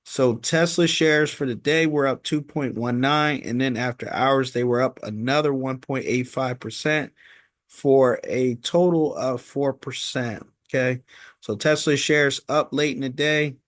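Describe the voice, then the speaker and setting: A man hosting an informal stock market recap, talking in a halting, repetitive way and often checking in with "okay?". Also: medium pitch, steady tone, no room sound, clean studio sound.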